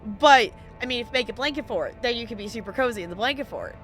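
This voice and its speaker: A woman chats playfully as she streams a game. Her voice is bright and very lively in pitch, and her style is casual. Faint music plays underneath. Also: fast. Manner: playful, chatty, giggly. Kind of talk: casual live gameplay commentary.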